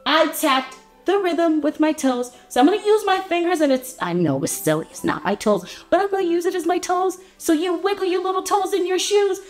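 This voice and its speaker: A woman reading a picture book aloud to small children in an animated, encouraging, exaggerated way, inviting them to join in. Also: very high voice, brisk, reverberant, soft background music. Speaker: read-aloud storyteller for young children. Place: small furnished indoor room.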